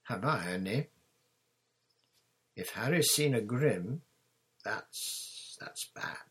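A man's voice: lowish voice, slow, fairly bright tone, breathy edge, heavily acted. Man voicing an earnest, worried schoolboy. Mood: serious, worried. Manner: serious, hesitant.

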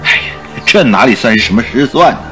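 A lively, genial sidekick. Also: male voice, mid-range voice, quick pace, faint music behind. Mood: reassuring. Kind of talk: Mandarin radio drama acting.

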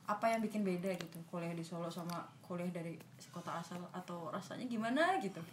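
A woman's voice: lowish voice, fast talker, slight background noise. Young woman host in an echoey room. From Magelang, Central Java. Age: young adult. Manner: encouraging, prompts the others with questions. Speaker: podcast host, university student. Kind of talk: casual chat.

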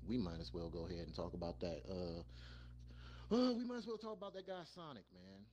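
A man's voice: deep voice, animated, a little fast, a little mellow. A man co-hosting a podcast, talking casually and bluntly about video games in an informal, joking way. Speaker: podcast co-host. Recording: audible hum and background noise.

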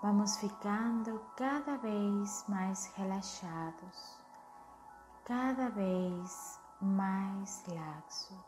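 A woman leading a guided meditation in a soothing, unhurried manner, gently giving instructions. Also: mid-range voice. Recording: echoey, with music underneath. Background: music.

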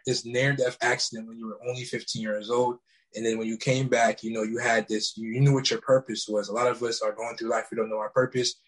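A man's voice: medium pitch, poor audio. A man hosting a casual interview podcast, eager and deferential, often answering "yes, ma'am". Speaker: podcast host.

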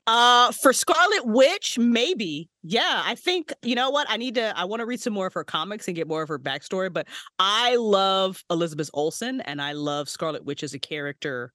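Woman guest, chatty and excitable, free with profanity, voice jumping high when thrilled; dry, close recording. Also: moderate pace, no room sound. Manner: animated, swears freely, big pitch jumps when excited. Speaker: podcast guest, writer and voice actress. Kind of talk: casual podcast interview.

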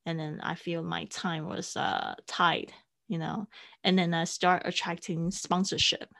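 A woman whose first language is Mandarin, speaking English as a podcast guest in an unhurried way, with many fillers and restarts. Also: on the low side, flat delivery, vocal fry, a bit bright. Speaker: guest, online teacher and podcaster. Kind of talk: casual interview conversation.

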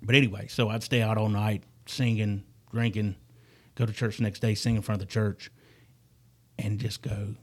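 A man's voice: on the low side, level tone, a little mellow. A man talking as a guest musician in a casual podcast chat, earnest and often stumbling over his words. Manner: halting, repeats words, earnest.

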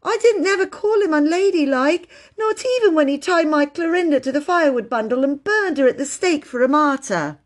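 A woman's voice: mid-range voice, lively intonation, moderate pace, lightly acted. A woman voicing an earnest, indignant little girl who muddles her big words in a children's storybook. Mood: sobbing, wounded.

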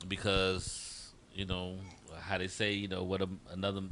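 A man's voice: low voice, completely flat delivery, normal speed, a bit bright. A man in his forties chatting casually as a guest, with some noise and clicks in the recording. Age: 40s. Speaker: guest on a couples discussion. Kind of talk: casual chat.

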